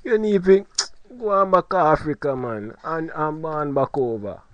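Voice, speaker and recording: High-voiced man in mock Jamaican patois. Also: moderate pace, no echo. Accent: put-on Jamaican patois. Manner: mock-Jamaican swagger, teasing. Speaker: comedy podcast host. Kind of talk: comic banter and storytelling. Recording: lo-fi podcast audio.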